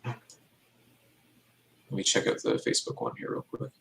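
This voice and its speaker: A man's voice: moderate pace, a bit bright, whispered. A man chatting casually as a team member on a work call, easygoing and agreeable. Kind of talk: casual chat.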